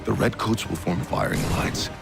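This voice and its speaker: An actor playing a gruff, sardonic older militia colonel in a video game, blunt and condescending. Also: male voice, deep voice, huge pitch swings, normal speed, loud music behind the voice, exaggerated voice. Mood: urgent. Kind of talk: dramatic video game acting.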